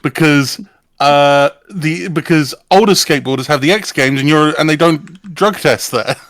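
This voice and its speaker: A man's voice: on the high side, moderate pace, a bit bright, dry recording. A man on a podcast panel, chatting casually with a wry, dry sense of humour. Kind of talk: casual chat.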